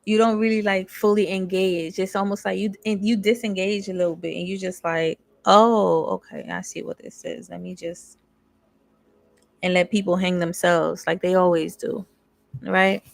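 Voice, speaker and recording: A woman's voice: medium pitch, fairly even intonation, moderate pace, creaky, dry recording. A young woman giving a casual, candid tarot reading, reading card text aloud and then riffing in slangy asides. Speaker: tarot reader.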